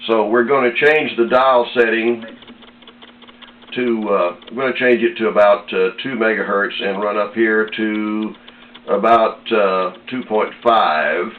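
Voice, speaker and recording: An American man giving a casual, unhurried product demonstration in plain words, pausing as he explains. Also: lowish voice. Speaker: radio seller demonstrating a set. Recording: echoey, dull lo-fi audio with faint noise.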